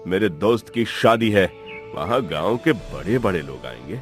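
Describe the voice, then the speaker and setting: A man's voice: sits low, big pitch swings, normal speed, soft background music. A man voicing an arrogant, cold husband and father in a cartoon story, stern and contemptuous. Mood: scornful. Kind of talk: Hindi cartoon story dialogue.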